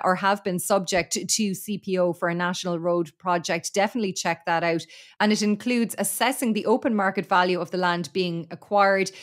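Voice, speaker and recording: An Irish woman with a fairly low voice, reporting farm news on a podcast. She talks in a steady, detailed manner, with the odd filler word, into a studio microphone.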